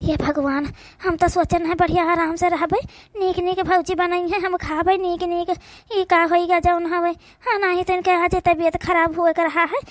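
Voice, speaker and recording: A very high-pitched woman's voice with an Awadhi accent, recorded dry and close. She acts out village family drama for a cartoon, complaining in colloquial rural speech. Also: little pitch movement, normal speed, dry recording. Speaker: cartoon voice performer.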